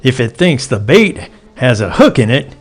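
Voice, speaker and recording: A man's voice: mid-range voice, very animated, unhurried, breathy edge. A man voicing a droll, folksy wisecracker from a 1930s comic strip, read theatrically. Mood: droll teasing.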